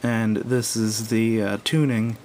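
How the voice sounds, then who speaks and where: A man's voice: level tone, slow pace, darkish tone. A man giving a casual, unscripted hands-on product review in a hesitant, rambling, self-correcting way. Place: indoors at a wooden table. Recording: noisy home recording with low rumble.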